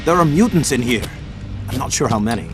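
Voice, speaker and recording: An actor playing a level-headed, practical mutant inventor in a video game, explaining things in a matter-of-fact way. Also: male voice, mid-range voice, expressive, brisk, natural voice. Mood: terse, concerned. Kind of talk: video game character acting. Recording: music behind the voice.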